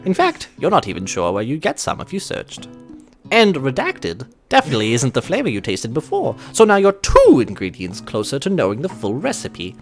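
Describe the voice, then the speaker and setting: A man's voice: mid-range voice, huge pitch swings, moderate pace, faint music behind. A man hosting a gaming video, reading visual novel narration aloud with joking asides.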